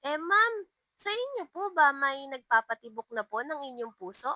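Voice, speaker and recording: A woman voicing cheeky, eager elementary pupils in a narrated story, pleading and teasing in an excitable way. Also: high-pitched, moderate pace, no room sound, studio quality, full character voice.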